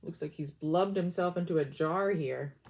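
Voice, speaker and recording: A woman's voice: sits low, moderate pace, darkish tone. A woman teacher reading a picture book aloud, adding chatty comments between the pages.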